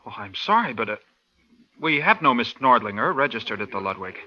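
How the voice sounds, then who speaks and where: A man's voice: mid-range voice, sing-song, a little fast. An actor playing a nervous, evasive male hotel clerk in vintage radio drama, recorded with muffled old sound. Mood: polite, guarded. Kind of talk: 1940s radio acting.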